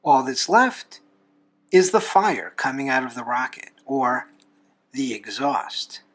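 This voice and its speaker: A man hosting a drawing tutorial, explaining each step in a folksy, unhurried way with pauses. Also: mid-range voice, sing-song, fairly bright tone, slightly rough. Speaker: host of a drawing tutorial show, reverend. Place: talking from behind a camera while drawing.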